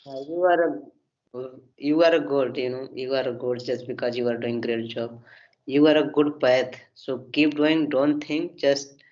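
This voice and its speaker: A man in his thirties, a native Hindi speaker with an Indian accent, talking in practice English and leaning constantly on "you know" on a video call. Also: mid-range voice. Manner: repetitive, leans on "you know" and "oh my God". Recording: muffled video call audio.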